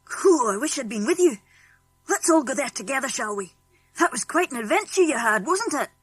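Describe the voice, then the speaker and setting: A woman's voice: sits high, animated, a little fast, breathy edge, dry recording, soft background music. A woman voicing a friendly, outspoken farm boy with a British accent in a children's audiobook. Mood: excited. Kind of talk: children's audiobook character acting.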